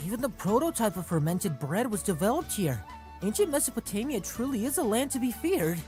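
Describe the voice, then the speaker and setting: A woman playing an eager, wide-eyed young male hero in a comic dub, excitable and expressive. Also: on the high side, a little fast, soft background music. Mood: delighted.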